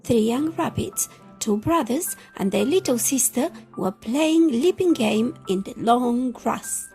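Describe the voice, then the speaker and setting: A woman reading a children's picture book aloud as an expressive storyteller. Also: a little high, slow pace, gravelly, faint music behind.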